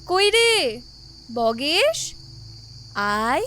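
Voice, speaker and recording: A woman playing a kindly, motherly village housewife in an audio story, doting and exclamatory with drawn-out phrases. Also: high voice, very animated, pushed and bright, slight background noise, heavily acted. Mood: calling out fondly. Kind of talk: audio story drama acting.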